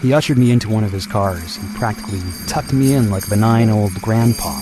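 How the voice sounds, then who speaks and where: An actor playing an irritable, uneasy, world-weary carnival boss, gruffly impatient and dramatic, in an audio drama. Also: male voice, lowish voice, brisk, music in the background, natural voice, low-quality recording. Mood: haunted narration. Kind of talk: audio drama acting with narration.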